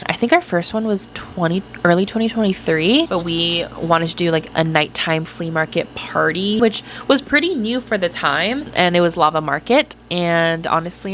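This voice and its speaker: Chatty young woman, slightly low voice. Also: fairly bright tone, slight background noise. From Orange County, California. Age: young adult. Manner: chatty, lots of "like" fillers, casual swearing. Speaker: vlogger. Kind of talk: casual talking-to-camera vlog. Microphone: small clip-on mic.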